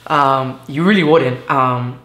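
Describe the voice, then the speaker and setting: A young man from Venezuela, a guest telling his story in a casual interview, earnest and halting with many fillers, sitting on a living room couch. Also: mid-range voice, a bit bright. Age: young adult. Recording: echoey room with faint hiss.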